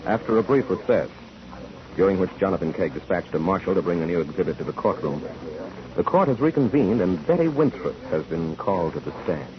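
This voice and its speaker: A man's voice: deep voice, lively intonation, a little fast, a crowd in the background. An actor as a grave, portentous male announcer, giving solemn scripted narration in 1940s radio style. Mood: solemn, dramatic. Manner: solemn, dramatic. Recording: dull old radio recording. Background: loud music.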